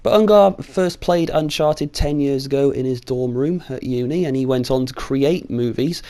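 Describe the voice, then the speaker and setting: A British man presents scripted opinion commentary as a video host. His delivery is informal and sardonic, opinionated and blunt, in a mid-pitched voice. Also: warm tone.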